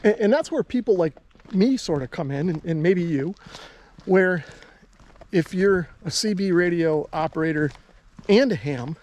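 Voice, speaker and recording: A man's voice: high voice, expressive, unhurried, a little mellow. An American man talking casually to viewers as a hobbyist host, explaining his points in an easygoing, conversational way. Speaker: radio hobbyist and video host.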